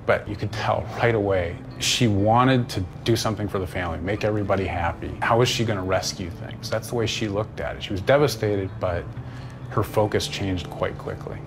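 Lowish-voiced man in an interview. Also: a little fast, background noise. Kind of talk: personal recollection in an interview.

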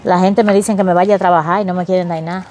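A woman's voice: lowish voice, fairly even intonation, quick pace, slight background noise, close to an everyday voice. A woman playing a weary, hungry homeless woman. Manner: tired, soft, pleading. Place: outdoors, rural yard.

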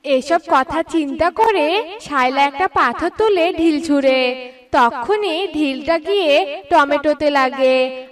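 A woman's voice: high voice, recorded from a distance. A woman narrating a scripted children's cartoon story.

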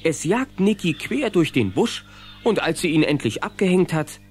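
A man's voice: on the high side, big pitch swings, normal speed, slight background noise. A man narrating a children's radio play in scripted storytelling style.

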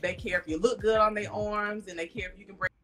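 A woman's voice: mid-range voice, fairly even intonation, quick pace, fairly bright tone. A woman caller on an advice show, earnestly explaining her views. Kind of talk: call-in conversation.

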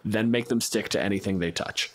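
A man's voice: lowish voice, a little fast, breathy edge. A man hosting a podcast, talking casually and bluntly in informal gamer chat. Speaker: podcast host. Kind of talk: casual discussion of tabletop gaming.

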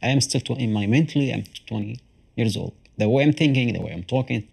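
A man in his thirties giving an interview in a small apartment, speaking haltingly and searching for words. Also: mid-range voice, lively intonation, creaky, darkish tone, breathy edge. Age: 30s. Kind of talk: emotional interview.

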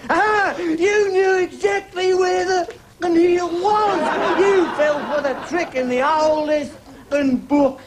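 A woman playing a pompous, bossy but soft-hearted police sergeant in a broad sitcom style. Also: a little high, animated, moderate pace, crowd noise, natural voice. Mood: bossy, smug. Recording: noisy room with studio audience.

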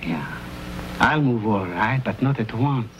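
An earnest, anxious immigrant settler in a vintage TV western. His voice sits at a medium pitch, and he speaks as a devoted, worried husband over a clearly noisy old soundtrack. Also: animated, a little slow. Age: middle-aged. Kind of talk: 1950s television western acting.

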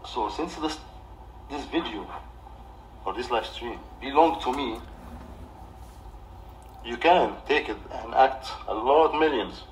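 A man in his thirties with an Egyptian accent, ranting off the cuff on a livestream in a defensive, halting, repetitive way. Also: medium pitch, animated. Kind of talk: off-the-cuff livestream rant to camera. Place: small living room indoors. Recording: distant mic with background noise.

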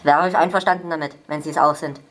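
A man voicing a polite, eccentric elderly prank caller in a stilted, formal, deadpan manner. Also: a little high, fast, a little hiss or hum, natural voice. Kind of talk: improvised prank phone call. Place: small home kitchen, indoors.

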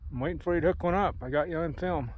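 A middle-aged American man with a folksy, excitable manner, narrating his own backpacking and fishing trip outdoors by a mountain lake, recorded on a handheld camera. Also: on the high side, rapid-fire, highs cut off, slight background noise. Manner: folksy, excitable, says "heck yeah". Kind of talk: casual outdoor vlog narration.

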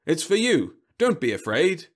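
A man's voice: a little high, huge pitch swings, a little slow, voice pushed up, full character voice. Roby, a man doing a chirpy sales robot. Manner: chirpy sales patter, oblivious. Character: cheerful male vending robot, relentlessly salesy.

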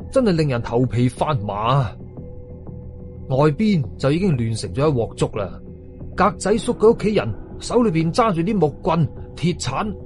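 A man with a Hong Kong Cantonese accent telling a horror story in a suspenseful, colloquial, dramatic style. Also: fairly high pitch. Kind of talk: dramatic scripted horror narration.

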